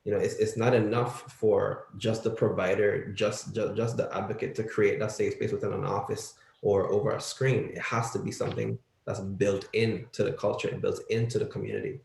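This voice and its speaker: A man speaking earnestly as a panelist, haltingly, repeating words as he thinks. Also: fairly low pitch, little pitch movement, vocal fry, a little mellow. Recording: roomy echo.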